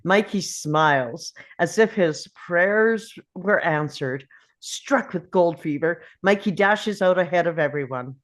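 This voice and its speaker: A woman's voice: fairly low pitch, animated, takes it slowly. A woman reading out the stage directions as narrator at a table read of a film script.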